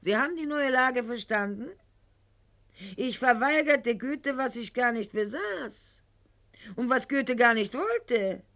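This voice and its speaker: A proud, calculating noblewoman of the eighteenth century, speaks in a theatrical radio drama monologue. She takes her time over every formal, measured phrase, and her pitch rises and falls widely as she addresses her husband with haughty eloquence. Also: animated, a bit bright, exaggerated voice. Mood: self-satisfied.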